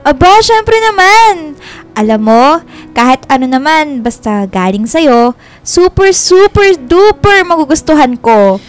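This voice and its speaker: High-voiced, coy young Filipina girlfriend. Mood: touched, bashful. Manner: coy, expressive, drawn-out endearments. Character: sweet young girlfriend, playful, bashful, openly affectionate. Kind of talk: Philippine radio romance drama.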